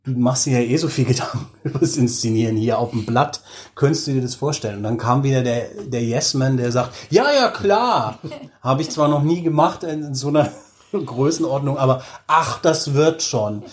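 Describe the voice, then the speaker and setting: A man's voice: mid-range voice, moderate pace. A man speaking casually and anecdotally as an interview guest, with a colloquial, self-deprecating way of talking. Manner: colloquial, self-deprecating, acts out quoted remarks. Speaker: interview guest, audio drama director.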